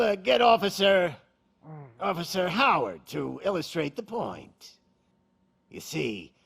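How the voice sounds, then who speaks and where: Creaky, theatrical gang boss. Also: male voice, on the high side, a bit bright, a little hiss or hum, full character voice. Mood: sadistic glee. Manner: theatrical, taunting showman's delivery. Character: cocky, menacing male gang boss hijacking a TV broadcast. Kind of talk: video game villain acting.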